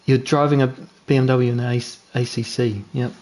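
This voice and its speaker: A man's voice: medium pitch, steady tone, normal speed, darkish tone. A young man with a New Zealand accent giving laid-back, chatty commentary while streaming a racing game, joking at his own mistakes. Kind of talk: casual gameplay commentary.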